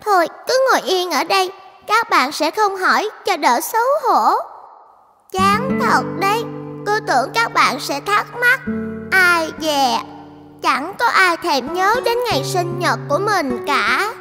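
A woman voicing a polite, shy little schoolgirl in a dubbed cartoon, bright and lively. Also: extremely high pitch, a little slow, in a large hall, music in the background, heavily acted. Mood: worried. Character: cheerful shy schoolgirl, about ten. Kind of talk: cartoon dubbing.

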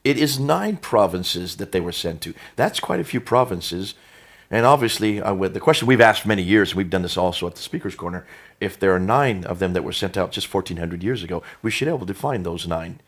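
An American man in his sixties with a very deep, somewhat husky voice. He talks in a relaxed way, seated in a room lined with books, and often steers the talk with questions he already knows the answer to. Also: brisk. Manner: leading with rhetorical questions. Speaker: co-host. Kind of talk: conversational discussion. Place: indoor study with bookshelves.

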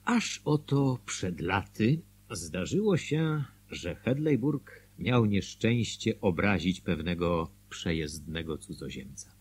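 An actor playing a former small-town mayor who recounts the town's history in a radio drama, husky and expressive. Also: male voice, deep voice, slow pace, a little hiss or hum, full character voice. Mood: wry, expressive.

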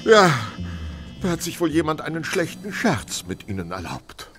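An actor playing a mild, pious, frail vicar who speaks hesitantly and courteously in a radio play. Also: male voice, on the high side, wildly swooping pitch, normal speed, over loud music. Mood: urgent. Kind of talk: German radio play acting.

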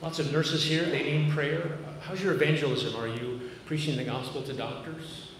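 A man in his fifties preaches with a Massachusetts accent, earnest and conversational, slipping in dry jokes at his own expense. He stands at a podium on the stage of a large church auditorium, picked up by a camera far back in the room. The sound rings through a roomy hall with a long tail of reverb and clear background noise. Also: fairly high pitch, fairly even intonation, moderate pace, a bit bright. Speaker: pastor. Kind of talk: sermon. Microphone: podium mic, filmed by a far camera.

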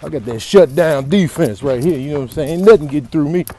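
A performer voicing a cocky male bull who talks excitable, repetitive street-ball trash in a comic animal voiceover. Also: medium pitch, quick pace, slight background noise, close to an everyday voice. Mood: cocky taunting. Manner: excitable, repetitive slangy taunting.

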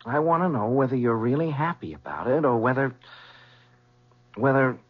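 A man's voice: mid-range voice, animated, moderate pace, husky. A young man acting in a 1970s radio drama as an earnest, confrontational son who is protective of his mother. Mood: pleading, concerned. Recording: muffled vintage radio recording.

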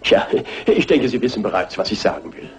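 An actor playing a gallant, earnest horse-loving gentleman suitor in a German radio crime drama, husky, courtly and sentimental. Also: fairly low pitch, very animated, a little fast, heavily acted. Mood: earnest, courting.

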